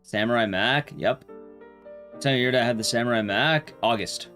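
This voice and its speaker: A man's voice: mid-range voice, moderate pace, bright, forceful tone, soft background music. A man livestreaming a game, chatting casually and addressing his viewers as he plays. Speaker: livestreamer and speedrunner. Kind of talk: casual livestream chat while playing a game.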